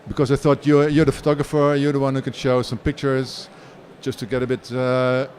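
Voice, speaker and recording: A man's voice: mid-range voice, flat delivery, a little fast, a little mellow. A man moderating a live interview, speaking hesitantly with many fillers and restarts.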